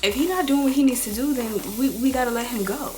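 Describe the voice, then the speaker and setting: A woman in her twenties with a raspy, rough voice from a cold, giving casual advice straight to her viewers. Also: high-pitched, fast, darkish tone. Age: 20s. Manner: chatty, direct, addresses viewers as y'all and girl. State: hoarse from a cold. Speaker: beauty and lifestyle vlogger. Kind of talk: casual girl-talk advice.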